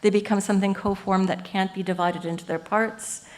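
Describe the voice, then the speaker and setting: A woman professor giving an academic lecture at a symposium, lively and earnest, explaining ideas through everyday metaphors. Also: medium pitch, brisk. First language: English. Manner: lively and earnest, with frequent "you know" and "um".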